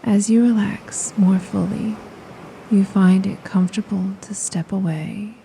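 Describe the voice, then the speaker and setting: A woman with a very dark, mellow voice leads a slow guided meditation. She speaks softly and breathily, close to a whisper, with a gravelly creak at the edges. Her phrases are soothing and spaced by long pauses, over faint ocean waves. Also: medium pitch, steady tone, a little hiss or hum, low-quality recording. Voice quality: soft, breathy, often near a whisper.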